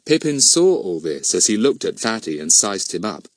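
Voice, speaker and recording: A man's voice: on the low side, very animated, normal speed, dry recording. A man narrating a children's story from a script as an audiobook reader.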